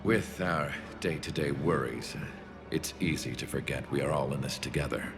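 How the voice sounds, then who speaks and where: A man's voice: a bass voice, normal speed, vocal fry, music in the background. A man voicing a weary, guarded alien refugee leader in a video game, speaking formally and warily. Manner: formal, wary.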